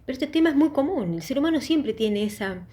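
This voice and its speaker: A woman with a mellow, warm voice speaks straight to her online viewers. She is earnest and reflective, easing into a spiritual talk at her own unhurried pace and pausing to think. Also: sits high, animated. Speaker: YouTube channel host. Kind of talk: casual religious testimony talk.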